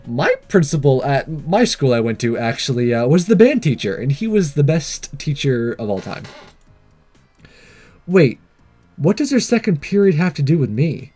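A man's voice: a little high, big pitch swings, moderate pace, mellow, faint music behind. A man doing a let's play, reading visual novel dialogue aloud in a theatrical way with casual asides. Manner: theatrical read-aloud, acting out the characters. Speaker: let's player.

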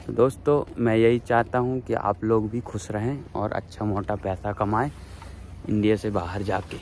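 A man's voice: lowish voice, fairly even intonation, moderate pace, a little mellow, a little hiss or hum. A man in his thirties filming a casual selfie vlog outdoors on his phone, earnestly encouraging viewers he calls friends. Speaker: vlogger giving job advice. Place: outdoors by a waterfront town, overcast. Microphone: phone at arm's length.

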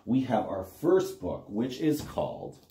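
A man's voice: on the low side, measured pace, gravelly. A man in his forties reading aloud to young children as a storytime host, with an exaggerated, playful, sing-song delivery. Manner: animated, exaggerated, sing-song repetition with gasps. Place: small plain room indoors.

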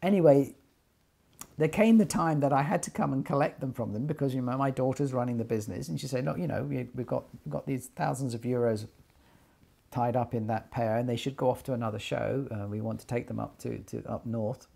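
An older man tells a story informally, acting out bits of dialogue as he goes. His voice is gravelly and soft-edged, with a husky grain and a middling pitch. He sometimes doubles back on his words. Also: a little fast. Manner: animated retelling with quoted dialogue, repeating words. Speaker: loudspeaker designer telling company story. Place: small attic sitting room, indoors.

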